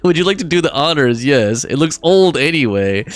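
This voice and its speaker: Animated, casual game streamer. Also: medium pitch, normal speed. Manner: slangy, informal, reading chat aloud. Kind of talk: casual livestream reaction chat. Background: game music.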